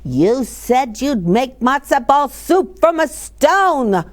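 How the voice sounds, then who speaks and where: A woman voicing proud, indignant, foolish storybook villagers with huffy, exaggerated, drawn-out exclamations. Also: high-pitched, big pitch swings, pitched above the performer's own voice, heavily acted. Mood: suspicious.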